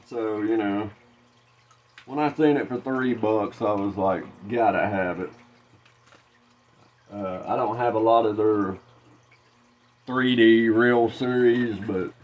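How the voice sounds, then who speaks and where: Slow, rambling Southern man from Arkansas. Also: medium pitch, lo-fi sound. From Arkansas, USA. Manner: slow, rambling, many "uh" fillers and restarts. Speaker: fishing channel host. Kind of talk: casual unboxing vlog. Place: small room lined with fishing tackle, indoors.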